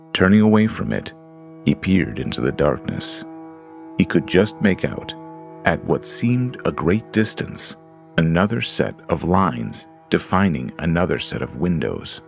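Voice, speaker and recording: A man narrating a horror story in a slow, deliberate storytelling manner. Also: sits low, lively intonation, creaky. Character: Lars, a frightened man alone in a dark house. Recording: music under the voice.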